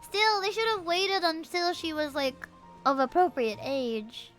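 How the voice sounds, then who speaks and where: A woman's voice: extremely high pitch, measured pace, faint music behind. A woman, reacting casually and expressively as she livestreams a game. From Philippines. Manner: expressive, exclamatory.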